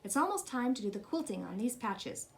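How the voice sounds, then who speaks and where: A woman voicing a frail, loving elderly grandmother in a storybook, with gentle, folksy phrasing. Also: a little high, big pitch swings, brisk, vocal fry. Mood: gentle, satisfied.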